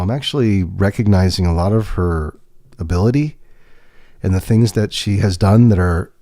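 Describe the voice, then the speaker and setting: Soft-spoken man giving a tarot reading. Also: on the low side, no room sound, clean studio sound. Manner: gentle and reassuring, pausing and restarting. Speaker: tarot reader and podcast host. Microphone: webcam setup.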